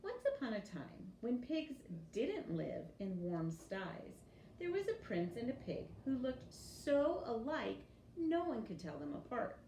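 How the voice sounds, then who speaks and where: A woman reading a children's story aloud in an expressive teacher's storytelling style. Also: fairly high pitch, a little slow. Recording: roomy echo with faint background noise.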